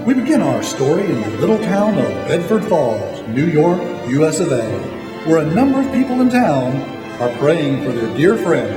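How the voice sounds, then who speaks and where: A man's voice: a little high, very animated, normal speed. A man playing a showy, folksy old-time radio host and narrator. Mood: storytelling. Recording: echoey hall, music behind.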